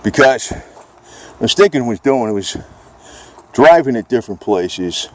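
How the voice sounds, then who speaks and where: A man's voice: mid-range voice, lively intonation, a little slow, slight background noise. An American man chatting casually off the cuff in a rambling, informal, folksy way. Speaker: vlogger.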